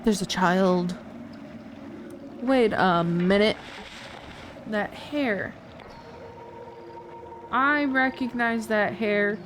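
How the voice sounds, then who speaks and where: A woman's voice: mid-range voice, drawn-out words, pauses often, a little hiss or hum. A woman streaming a video game, reacting aloud in casual, exclamatory commentary. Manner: exclamatory, talking back at the game. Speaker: live streamer.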